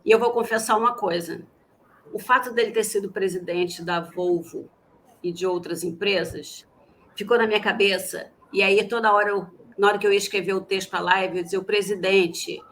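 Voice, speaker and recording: A woman co-hosting a livestream talk show, chatty and lively. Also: mid-range voice, normal speed, slight background noise, rough recording. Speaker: co-host.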